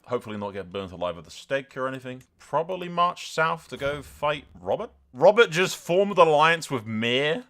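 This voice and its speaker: A man hosting a gaming video, giving casual, excitable and sweary commentary with some roleplay storytelling. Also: on the high side, normal speed, few pauses.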